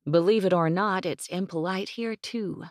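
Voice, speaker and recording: A woman's voice: lowish voice, moderate pace, no room sound, studio quality, pitched above the performer's own voice, lightly acted. A woman voicing a spirited young ranch woman with a teasing, bossy drawl, read in an audiobook. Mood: playful.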